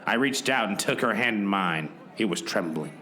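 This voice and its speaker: A man's voice: on the low side, lively intonation, moderate pace, pushed and bright. A man playing a weary, world-wise private detective in a hard-boiled radio play, brooding and dramatic. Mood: wry narration. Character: weary, world-wise male gumshoe detective narrating his case.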